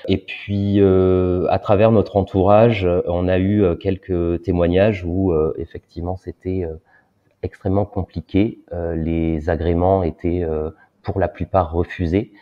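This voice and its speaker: A man in his thirties, a guest telling his story in an interview, pausing often with fillers. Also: low-pitched, flat delivery. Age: 30s. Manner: hesitant, many filler pauses. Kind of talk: personal interview talk. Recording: dull lo-fi sound.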